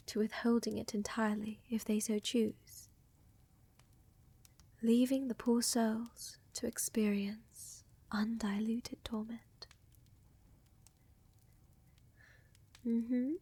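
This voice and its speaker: A woman playing a seductive, tender vampire countess in a soft, intimate roleplay ASMR style, often dropping to a whisper, with a teasing, assured manner and formal wording. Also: a little high, breathy edge, no room sound, exaggerated voice. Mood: seductive, dark. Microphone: close microphone, dry.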